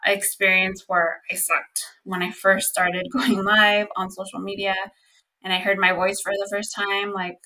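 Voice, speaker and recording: A young woman hosting her own podcast, talking casually and earnestly with lots of "um" and "like," with a slightly squeaky voice. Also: flat delivery, a little slow, pushed and bright, poor audio. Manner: chatty, earnest, lots of fillers like "um" and "like". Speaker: podcast host. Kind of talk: casual solo podcast talk.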